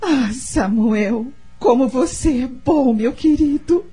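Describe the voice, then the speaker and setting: An actress with a Brazilian accent playing a gentle, loving wife and mother in a radio soap opera, tender in manner. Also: sits high, slow pace, slightly rough, full character voice. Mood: tender, grateful. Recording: music under the voice.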